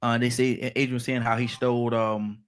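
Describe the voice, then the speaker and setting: A male radio host who talks in a halting, amused way, with plenty of fillers. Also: medium pitch, little pitch movement, smooth. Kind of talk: casual talk radio chat.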